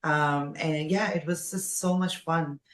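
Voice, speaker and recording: A woman's voice: low voice, fairly even intonation, a little slow. A woman from Nepal speaking effusively as a guest in a casual conversational interview. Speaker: podcast guest, former radio jockey. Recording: roomy, echoey sound.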